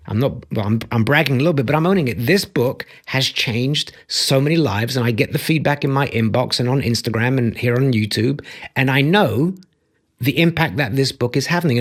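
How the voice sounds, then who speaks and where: A man's voice: mid-range voice, breathy edge. Earnest man coaching straight to camera. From Democratic Republic of Congo. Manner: earnest, emphatic, repeats key phrases. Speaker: empathy coach and author. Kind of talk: motivational talk to camera. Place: home office with bookshelves, indoors. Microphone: studio mic on boom arm, close.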